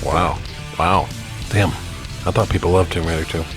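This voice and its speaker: A man's voice: sits low, moderate pace, over music. A man co-hosting a podcast in casual banter, reacting agreeably with the odd swear word. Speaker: podcast co-host.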